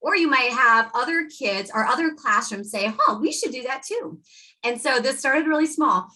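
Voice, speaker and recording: A woman educator leading a workshop for teachers, talking with lively energy and acting out quotes from students and parents. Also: sits high, moderate pace. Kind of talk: presenting to educators.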